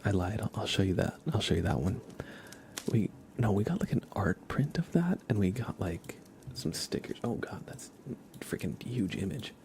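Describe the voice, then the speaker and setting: A very low, crackly male voice speaks softly, half whispering at times, in a casual ASMR stream. He rambles along informally with small halting pauses. There is light noise behind him, with keyboard typing. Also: lively intonation. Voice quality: soft, hushed, partly whispered. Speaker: livestream host.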